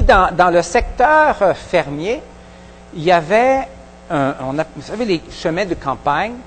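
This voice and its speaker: A pastor with a Québécois French accent preaching a sermon in a halting way, with many pauses and restarts. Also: male voice, a little high, big-room sound, slight background noise, rough recording. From Quebec. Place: church hall.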